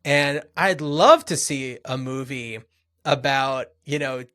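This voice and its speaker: A man's voice: medium pitch, slow pace, a bit bright. A man co-hosting a podcast, discussing movies casually and thinking aloud in a loose, conversational way. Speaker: podcast co-host.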